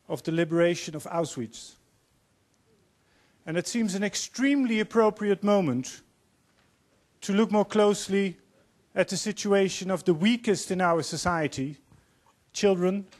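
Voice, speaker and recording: A man in his fifties with a Dutch accent, giving an earnest, measured opening speech as panel moderator in a large auditorium, holding a handheld mic. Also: high-pitched, darkish tone. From Netherlands. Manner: earnest, measured, quoting speakers.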